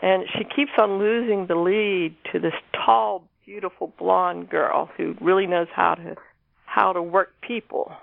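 A woman speaks casually as an interviewed author, her voice creaky and middling to low. The sound is thin and phone-like, with no room around it. She explains things in a conversational way. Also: fairly even intonation, unhurried, no echo. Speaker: guest author discussing own novel. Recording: narrow phone-like line.

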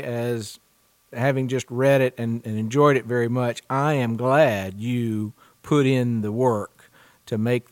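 A man's voice: mid-range voice, darkish tone, clean tone, no room sound, clean studio sound. A man hosting a book podcast, moving between interview chat and scripted announcements. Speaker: podcast host, publisher.

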